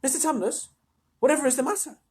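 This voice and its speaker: A storyteller voicing a kind, earnest young girl who talks in a high, urgent, pleading way. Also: fast talker, dark tone, close to an everyday voice. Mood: alarmed.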